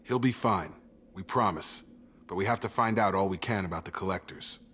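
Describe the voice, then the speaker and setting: An actor playing a steady, reassuring soldier in a sci-fi game, calm and matter-of-fact. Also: male voice, low-pitched, moderate pace, a little hiss or hum. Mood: calm, firm. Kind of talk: cinematic sci-fi game dialogue.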